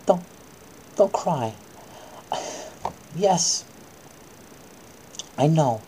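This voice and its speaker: Animated, soft-toned woman telling a bedtime story. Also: low voice, very slow, a little hiss or hum. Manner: soothing coaxing asides alternating with dramatic reading. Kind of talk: acted satirical bedtime story read to children, with asides.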